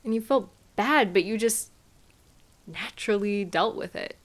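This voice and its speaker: Casting: caring female partner played by a woman for intimate audio drama; slow, tender, gently chiding, mid-pitched. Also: lightly acted. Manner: tender, soothing, gently questioning and unhurried. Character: caring, gently chiding partner arriving home to their sweetheart. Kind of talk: intimate scripted audio roleplay addressed to the listener.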